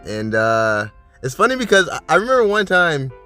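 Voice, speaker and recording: A man with a fairly high but lively, bouncing voice chats loosely through a list, chuckling often and trailing into "like" and "you know." His tone sounds bassy, as if he just woke up. Faint music plays underneath. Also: moderate pace, smooth. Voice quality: deep and bassy from just waking up. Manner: rambling, laughs often, many fillers. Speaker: vlogger host. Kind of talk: casual chat and reading out list items.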